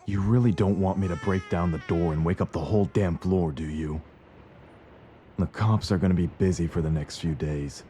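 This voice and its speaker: A man's voice: low voice, moderate pace, mellow, slight background noise, close to an everyday voice. A man playing a cocky villain in an intimate audio roleplay, with a teasing, smug, flirtatious drawl. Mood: menacing, taunting.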